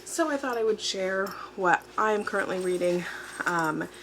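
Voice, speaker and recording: A young adult woman with a hoarse, fading voice, chatting casually about what she reads, rambling with fillers and self-corrections. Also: medium pitch, expressive, moderate pace, background noise. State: hoarse, losing her voice. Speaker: book vlogger. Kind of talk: casual chat. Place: at home with a dog nearby. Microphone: camera mic.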